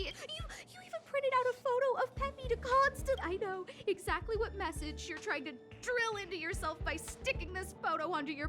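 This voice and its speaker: Very high-voiced, earnest young noblewoman. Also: a bit bright. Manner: pleading, emphatic, halting when upset. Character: earnest, apologetic young noblewoman, anxious and over-dramatic. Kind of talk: video game voice acting.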